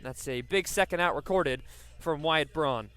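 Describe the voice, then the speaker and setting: A man's voice: a little high, measured pace, fairly bright tone, smooth, faint music behind. A man doing live radio play-by-play commentary of a baseball game.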